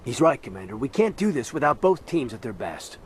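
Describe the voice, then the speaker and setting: A man's voice: medium pitch, sing-song, brisk, noisy background, close to an everyday voice. An actor playing an earnest, dutiful young male lieutenant in a video game, respectful in manner. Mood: dutiful, firm. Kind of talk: video game cutscene acting.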